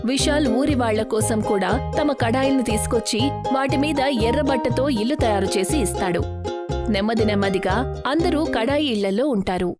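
A woman tells a scripted children's moral tale as narrator. Her pitch is on the high side, and music plays clearly behind her. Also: normal speed.

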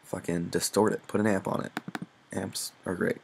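A young man with a very low voice that crackles with vocal fry. He talks through a tutorial off the cuff, informal, sweary and self-deprecating. The recording has clear background noise with little clicks. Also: level tone, normal speed. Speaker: music production tutorial presenter. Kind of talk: casual unscripted screen-recorded tutorial.

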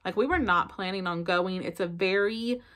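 A woman's voice: mid-range voice, normal speed. A woman in her thirties with a Southern American accent, chatting casually to the camera from her bedroom as a family vlogger, full of fillers. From South Carolina. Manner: chatty, expressive, lots of fillers. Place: bedroom at home, indoors. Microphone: camera in front at close range.

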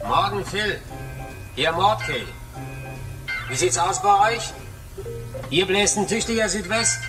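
An actor playing a friendly coastguard radio operator in a German radio crime drama. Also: male voice, high-pitched, slow, far from the mic, over music, low-quality recording. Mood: brisk, friendly.

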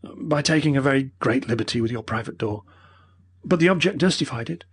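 A man reading an old male professor who speaks in a breathless, earnest, pleading way, with a husky voice. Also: mid-range voice, animated, fairly quick, voice pushed up. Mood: explaining.

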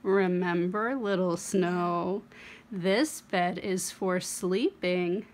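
A woman voicing a gently firm young mother in a picture book, speaking to her little boy in drawn-out, deliberate admonishment. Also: on the low side, fairly even intonation, voice pushed down. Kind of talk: picture-book character reading.

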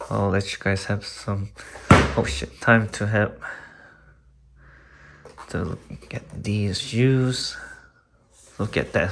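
Slow-talking man, halting casual review. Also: medium pitch, a bit bright. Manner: halting, rambling, loosely worded with mild swearing. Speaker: sneaker and boot reviewer. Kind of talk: casual unscripted product review. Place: small indoor room at a desk. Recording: clearly noisy home recording with clicks.

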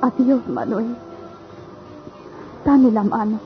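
A woman's voice: fairly high pitch, slow, faint music behind. A woman acting a resolute, devoted young betrothed country girl, solemn and firm, in a radio melodrama. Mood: sad farewell. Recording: dull lo-fi radio drama sound.

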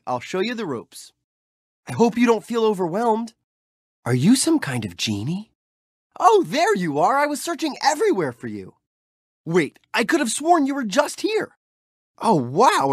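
An actor voicing a cheerful, street-smart young hero in video game clips, with light, boyish, friendly and teasing delivery. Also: male voice, high-pitched, wildly swooping pitch, moderate pace, husky, no room sound, clean studio sound, exaggerated voice. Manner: friendly, teasing, easygoing chatter.

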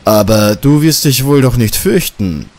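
A man's voice: medium pitch, animated, a little slow, darkish tone. Audiobook role: self-assured male journalist, breezy and teasing read by a man. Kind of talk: audiobook dialogue.

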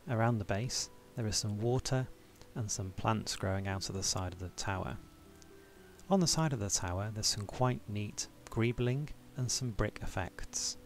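Male channel host, low and gravelly, measured scripted voice-over. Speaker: toy-building channel host. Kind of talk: scripted review narration.

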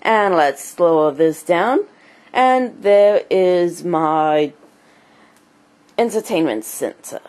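A woman with a cold and a speech impediment, giving a casual home tour in halting, choppy phrases. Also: lowish voice, lively intonation, darkish tone. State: has a cold, clearing throat. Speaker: amateur video blogger and hobby writer. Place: small home computer room. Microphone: handheld camera held by the speaker.

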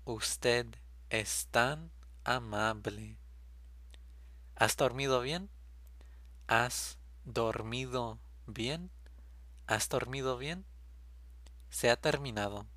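A man reading short phrases aloud as a language drill, each one said slowly and clearly, then repeated. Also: mid-range voice, bright, forceful tone, no room sound.

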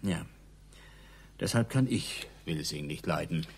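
An actor playing an older doctor in a radio crime drama, speaking frankly and conversationally in a husky voice. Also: male voice, extremely low pitch, animated, slow pace, exaggerated voice. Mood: resentful. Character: doctor, frank, dryly resentful male card-player.

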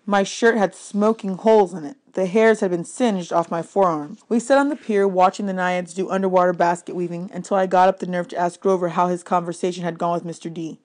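A woman's voice: on the low side, a little mellow. A woman reading a children's fantasy novel aloud as scripted audiobook narration. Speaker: audiobook reader.

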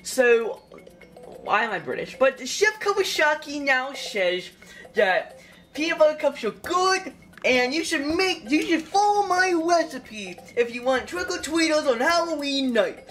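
A woman's voice: high-pitched, lively intonation, a little slow, a bit bright, soft background music. A young woman casually narrating a plush puppet cooking skit at home, rambling and jokey, correcting herself as she goes. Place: home kitchen and dining room.

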